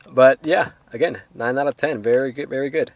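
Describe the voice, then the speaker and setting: Man, mid-pitched voice, casual film reviewer, unscripted, halting, rambling, dry room sound. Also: no echo.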